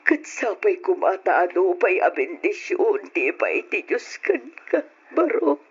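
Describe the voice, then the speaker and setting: Husky, emotional aunt. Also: female voice, medium pitch, heavily acted. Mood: tearful remorse. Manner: emotional, drawn-out expressive delivery. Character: affectionate, emotional, tearful middle-aged aunt. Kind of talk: old radio drama acting. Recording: dull old radio recording.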